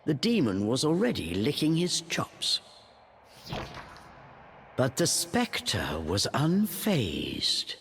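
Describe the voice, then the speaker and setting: A man narrating a storybook in a measured, expressive way. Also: mid-range voice, creaky, a little hiss or hum.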